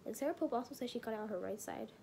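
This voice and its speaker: A young woman talks through a relaxed personal vlog update, her voice sitting a little high. She rambles loosely with lots of "um" and "like," and sounds a bit congested as she recovers. A faint hum of room ambience sits behind her. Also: rapid-fire. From California. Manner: rambling, chatty, with fillers like "um" and "like". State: congested and recovering after surgery, sometimes whispering. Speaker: vlogger.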